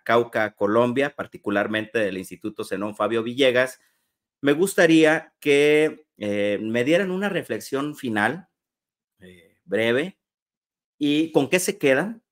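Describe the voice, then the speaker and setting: A man hosting a panel conversation among educators and moderating it with deliberate phrasing and drawn-out fillers. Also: medium pitch. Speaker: host.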